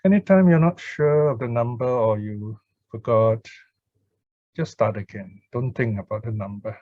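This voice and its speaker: A man speaking gently and with pauses as a Buddhist teacher, chanting and guiding meditation. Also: medium pitch, no room sound. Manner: gentle, measured, pausing between short phrases. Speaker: Buddhist teacher leading puja and guided meditation. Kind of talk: Pali chanting and guided meditation instruction. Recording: dull, lo-fi online call sound.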